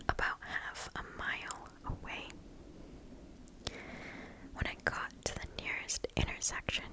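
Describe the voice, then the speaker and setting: A woman reading a first-person story aloud in ASMR style, in a soft breathy whisper very close to the microphone, pausing deliberately between phrases. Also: poor audio. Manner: slow, deliberate, hushed delivery with pauses between phrases.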